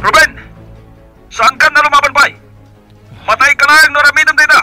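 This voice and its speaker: An Ilocano Filipino man playing a commanding policeman who shouts orders in a radio drama. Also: very high voice, fairly even intonation, normal speed, soft background music, exaggerated voice. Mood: urgent, commanding.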